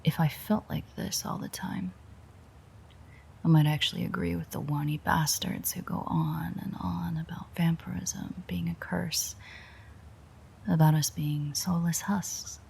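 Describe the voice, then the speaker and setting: A woman playing a weary, sardonic, teasing female vampire in an intimate audio roleplay, with a breathy, husky voice that often drops near a whisper and a dry, sarcastic way of talking. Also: sits low, steady tone, measured pace, warm tone, full character voice. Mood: weary, musing. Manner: dry, rambling, sarcastic banter.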